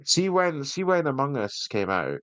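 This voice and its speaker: A man's voice: medium pitch, sing-song, moderate pace, a bit bright, no echo. A man co-hosting a podcast, giving reviews in a rambling, jokey, casual way. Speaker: podcast co-host.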